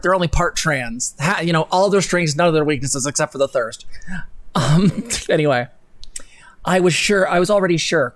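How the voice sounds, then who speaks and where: A young adult man with a fairly high voice hosts a live stream. He reads aloud theatrically and breaks off for sarcastic, sweary asides. The sound is close and dry. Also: dry recording. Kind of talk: dramatic reading with sarcastic commentary. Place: home room, indoors.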